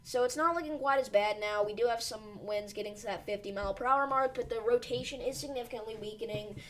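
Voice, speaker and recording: A woman's voice: a little high, fast talker. A woman hosting a weather livestream who is losing her voice, giving urgent but reassuring storm commentary with many fillers. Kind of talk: live storm-tracking commentary.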